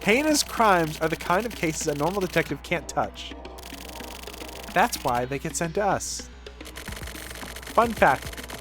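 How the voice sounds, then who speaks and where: A man voicing a sarcastic, foul-mouthed senior detective who scolds a partner, reading game dialogue aloud. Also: fairly high pitch. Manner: sarcastic, scornful, profane. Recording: music playing behind the voice.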